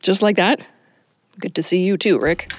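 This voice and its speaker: Woman playing a husky, wry detective. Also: medium pitch, moderate pace, lightly acted. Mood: teasing, bold. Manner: wry, questioning, informal. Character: sardonic, inquisitive, bold female police detective. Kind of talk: audio drama acting.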